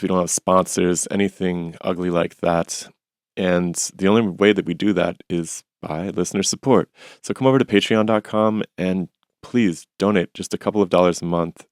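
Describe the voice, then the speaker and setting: A man's voice: low-pitched, a little mellow, no room sound, studio quality. A man hosting a podcast, giving the intro and a listener appeal in an earnest way. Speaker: podcast host.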